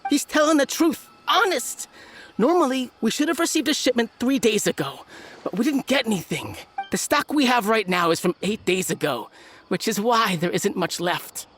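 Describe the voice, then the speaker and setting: A woman's voice: on the high side, animated, moderate pace, fairly bright tone, slight background noise. A woman playing a blunt but nervous street dealer of illicit goods in a video game. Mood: pleading. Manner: blunt, then jittery and pleading.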